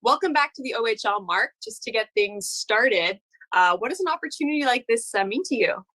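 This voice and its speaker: A woman's voice: on the high side, expressive, a little fast, sharp tone, rough recording. A woman conducting a prepared sports interview.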